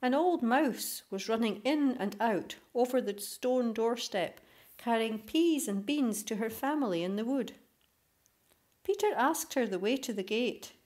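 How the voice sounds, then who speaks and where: A woman reading a children's story aloud as scripted narration, in an expressive storytelling manner. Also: fairly high pitch. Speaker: storybook reader.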